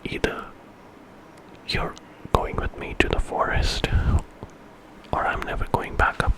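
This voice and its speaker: A man's voice: a little slow, lightly acted, poor audio. A man voicing a determined young village man in whispered ASMR storytelling, pleading and insistent. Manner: insistent, urgent wording.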